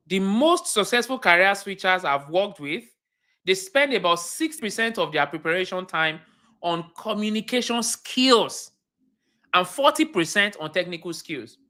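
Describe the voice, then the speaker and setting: A woman with a Nigerian accent coaches a livestream audience in an emphatic way. Her voice is bright and forceful, and she often circles back to repeat a point. Her pitch moves a lot, and she checks in with her listeners by asking them questions. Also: animated, moderate pace. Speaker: cybersecurity career coach. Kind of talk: livestream teaching talk.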